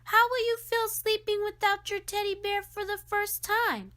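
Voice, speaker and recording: A woman's voice: very high voice, flat delivery, normal speed, sharp tone, voice pushed up. A woman voicing a taunting older sister in a children's storybook, with an emphatic, needling sing-song delivery. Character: teasing, needling older sister of a young boy. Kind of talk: children's storybook read-aloud.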